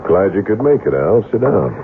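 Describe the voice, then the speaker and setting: An actor playing a menacing gangster in a radio drama, mockingly genial, with taunting, drawn-out tough-guy talk. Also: lowish voice, big pitch swings. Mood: coolly firm. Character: menacing male gangster collector, mockingly genial, coolly threatening. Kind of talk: 1970s radio drama acting. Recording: noisy muffled old radio broadcast.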